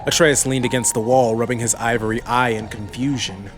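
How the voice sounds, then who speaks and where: A man's voice: medium pitch, steady tone, brisk, music in the background. A man narrating a scripted fantasy audiobook as the storyteller.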